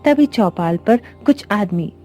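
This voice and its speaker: A woman reading scripted narration for an animated moral story. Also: mid-range voice, slow pace. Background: background music.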